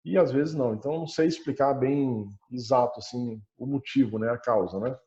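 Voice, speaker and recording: A man's voice: medium pitch, fairly quick, mellow. A man giving a casual personal account, hesitant, repeating words with a mild stutter. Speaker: coaching client. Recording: dull lo-fi online call audio.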